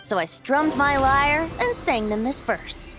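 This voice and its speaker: Boyish bard god, high and playful, unhurried storyteller lilt, music behind, game voice. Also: female voice, lively intonation. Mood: playful, nostalgic. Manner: lilting, theatrical storytelling. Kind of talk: video game character acting.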